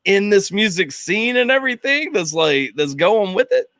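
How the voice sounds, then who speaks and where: A man hosting a casual music interview, talking in an animated way with lots of "like" and "you know". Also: high-pitched, normal speed, no echo. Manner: animated, filler-heavy, lots of "like". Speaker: podcast host.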